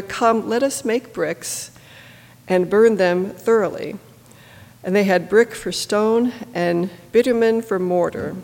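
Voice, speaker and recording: An adult with a stuffy cold, reading scripture in a church in a steady, measured way. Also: mid-range voice, gravelly. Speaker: scripture reader. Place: church sanctuary. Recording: echoey church from a distance.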